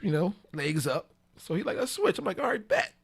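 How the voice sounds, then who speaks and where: A man tells a bawdy story in casual slang as a podcast guest. His voice sits a little high and swings widely in pitch. He is animated and voices the quotes as he goes. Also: a little fast, gravelly, husky.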